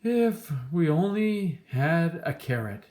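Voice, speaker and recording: Casting: woman as hungry folktale traveler, a showy talker with drawn-out delivery and very animated intonation. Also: lowish voice, slight background noise. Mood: mock regretful. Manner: loud, slow, drawn-out proclaiming. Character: two hungry, hearty, showman-like travelers selling magical soup.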